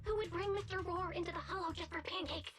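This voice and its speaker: Small grumbling game creature, childlike extremely high voice, blunt and doubtful. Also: female voice, fairly even intonation, quick pace, a crowd in the background. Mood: exasperated worry.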